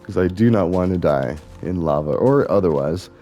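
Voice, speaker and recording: A young man with a deep voice, musing on tangents while he plays a game. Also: lively intonation, moderate pace, warm tone, soft background music. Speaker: gaming vlogger. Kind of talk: rambling commentary while playing a game.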